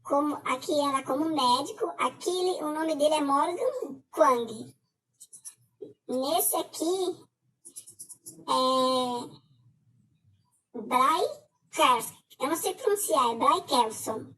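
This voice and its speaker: High-voiced Brazilian woman, halting speech. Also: expressive, fairly bright tone, recorded from a distance, low-quality recording. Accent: Brazilian Portuguese. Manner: halting, many filler hesitations. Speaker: scam victim giving testimony. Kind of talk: personal testimony to camera.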